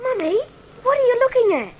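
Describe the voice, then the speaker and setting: A woman's voice: very high voice, sing-song, brisk, a little mellow, slight background noise. A woman playing an anxious, inquisitive small boy in a radio drama, with a whiny, questioning way of talking. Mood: curious.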